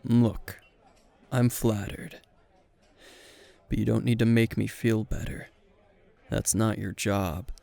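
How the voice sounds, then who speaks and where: A man acts out a weary hero teacher several drinks in, speaking low with a heavy gravelly fry. He is gruff and deadpan, yet dryly flirtatious, murmuring close to the listener in an anime-style ASMR roleplay. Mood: flirtatious, soft. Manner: low-key, dry, deadpan, unhurried. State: playing tipsy.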